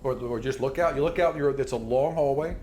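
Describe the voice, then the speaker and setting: A man runs a tabletop role-playing game, describing scenes off the cuff in a conversational way. His pitch is middling, and there is faint noise in the recording. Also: a little fast, a little mellow. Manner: conversational, descriptive, swears casually. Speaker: game master. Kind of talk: improvised tabletop game narration.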